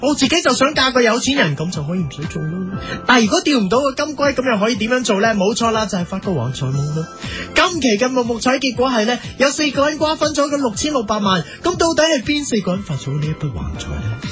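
A man puts on a gossipy, money-minded talk show presenter in a comedy sketch. The voice is very high and swings up and down in an exaggerated, animated way, over music. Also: quick pace. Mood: chirpy, gossipy. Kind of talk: parody talk show interviewing.

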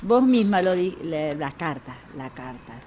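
Radio play: actress as Argentine woman, aggrieved and credulous loyal client, confiding storyteller with very animated delivery. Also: on the low side. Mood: wounded, confiding. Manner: confiding, dramatic storytelling with pauses. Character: gullible, resentful woman, loyal longtime client of a fortune teller. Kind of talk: radio drama acting.

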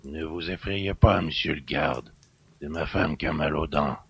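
A man playing a hateful, surly old country innkeeper in an audiobook, with a gravelly, rasping voice and rustic, menacing speech. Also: very deep voice, measured pace, heavily acted. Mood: gruff, sneering. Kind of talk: dramatised audiobook acting.

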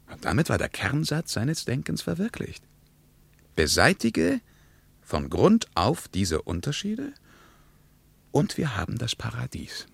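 An argumentative intellectual man in a radio drama. His voice is low and very lively in melody, with emphatic rhetorical pauses and an ironic edge. The sound is close and dry. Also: gravelly, breathy edge, dry recording, full character voice. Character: male traveller, argumentative, ironic intellectual debating politics. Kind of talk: German radio play dialogue.

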